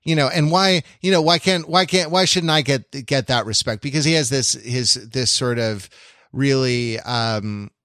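Halting, analytical man, dry close sound. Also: medium pitch, fairly bright tone, dry recording. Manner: halting, searching for words, repeats and rephrases himself. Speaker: podcast host. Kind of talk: analytical discussion of classic television.

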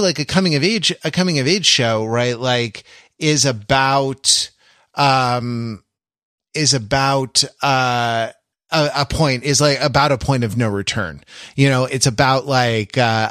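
Halting man, dry close sound. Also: mid-range voice, fairly bright tone, dry recording. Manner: halting, many fillers and restarts. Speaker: podcast co-host. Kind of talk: casual podcast discussion.

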